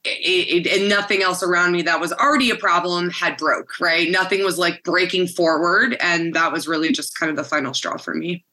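A woman in her forties with a deep, raspy voice that tires easily, telling her story candidly in a casual interview with frequent fillers. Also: moderate pace, few pauses, bright, forceful tone. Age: 40s. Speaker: guest, former butcher shop owner.

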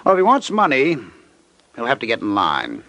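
A man's voice: mid-range voice, huge pitch swings, moderate pace, sharp tone, no echo, dull audio. An actor playing a slick, evasive man dodging creditors in an old radio detective drama, smug and cocky with drawling hesitations. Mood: smug, indignant.

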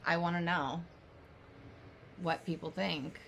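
A woman in her thirties from California, co-hosting a casual podcast, chatty and animated and swearing casually. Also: on the low side, takes it slowly, gravelly, a little hiss or hum. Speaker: podcast co-host. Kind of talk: casual chat.

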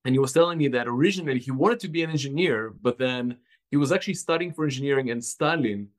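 A man from Ukraine, a company founder, answering interview questions reflectively, with frequent restarts and a voice that drops softly at the ends of thoughts. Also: mid-range voice, fast talker. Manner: reflective, many fillers and restarts, trails off softly. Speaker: startup founder guest. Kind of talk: casual interview.

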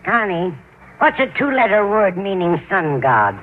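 A woman's voice: medium pitch, very animated, unhurried, narrow, tinny audio. An actress playing a kindly, scatterbrained older landlady who fusses in a motherly, literal-minded way, in old radio comedy style. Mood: absent-minded. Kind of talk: 1940s-50s radio comedy acting. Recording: thin, noisy old-time radio broadcast.

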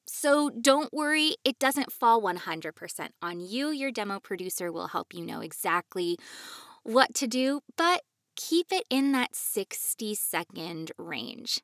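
A woman with a fairly high voice talks as a podcast host giving advice. She is conversational and reassuring. The sound is dry, with very little room in it. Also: big pitch swings, a little slow, fairly bright tone, dry recording.

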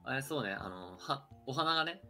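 A man's voice: mid-range voice, expressive, brisk, pushed and bright, faint music behind. A young man co-hosting a radio show in casual, friendly-register conversation. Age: young adult. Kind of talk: casual chat.

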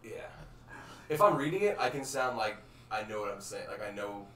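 A lowish, bright, edgy-toned young man jokes at his own expense in relaxed talk with friends. He is some way from the mic in an echoey room. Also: a little fast. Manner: self-mocking, joking. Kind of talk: casual chat among friends. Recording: roomy, distant mic, faint hiss.

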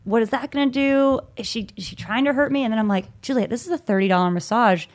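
A woman's voice: on the high side, fast. A young adult woman chatting casually as a podcast co-host, swearing freely, acting out voices and laughing often as she tells a story. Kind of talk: casual chat.

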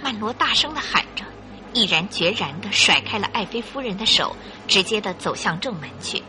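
Animated woman narrating a radio drama. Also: mid-range voice, moderate pace. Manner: expressive storytelling.

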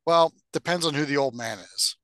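Bright, higher-pitched man bantering on a podcast. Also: normal speed, no room sound. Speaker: podcast co-host. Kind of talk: casual bantering chat about games and films.

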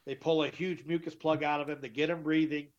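A middle-aged man with a deeper voice, telling his story as an interview guest, emphatic and often repeating himself. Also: little pitch movement, fairly quick. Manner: emphatic, repeats and restarts words. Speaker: guest, author. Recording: dull lo-fi sound.

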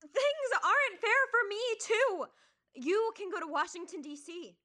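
An actress playing a gentle, earnest middle-school girl in an audio play. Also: extremely high pitch, very animated, a little slow, exaggerated voice. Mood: defensive. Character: gentle, pleading middle-school girl, a high-achieving rival.

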